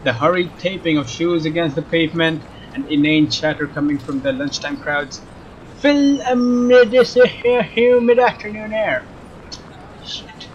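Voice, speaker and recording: A man narrating a visual novel as a let's player, expressive and informal, with casual asides. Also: on the high side, a little slow, slight background noise. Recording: dull lo-fi sound, music behind. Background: game music with guitar.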